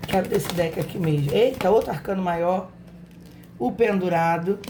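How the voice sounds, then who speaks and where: A Brazilian woman with a hoarse voice, giving a casual tarot reading and talking affectionately and directly to her viewers. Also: on the low side, moderate pace, background noise. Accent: Brazilian Portuguese. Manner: affectionate, chatty, talks straight to viewers. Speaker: tarot reader, channel host. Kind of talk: casual spiritual reading to camera.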